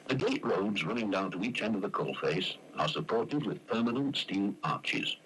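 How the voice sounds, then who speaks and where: Heavily noisy vintage soundtrack, man, deep voice, measured explanatory delivery, scripted 1970s instructional narration. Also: lively intonation. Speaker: training film narrator. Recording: noisy old film soundtrack.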